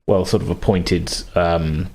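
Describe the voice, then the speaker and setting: Low-voiced man narrating, haltingly. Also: steady tone, mellow. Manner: halting, searching for words. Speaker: game master. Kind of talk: casual, improvised narration of a tabletop game.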